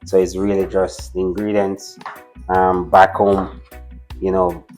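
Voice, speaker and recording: A man's voice: low-pitched, little pitch movement, slow, a little mellow, soft background music. A Jamaican man from the island's countryside, talking casually through a cooking demonstration and often repeating words as he starts a thought. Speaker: guest cook.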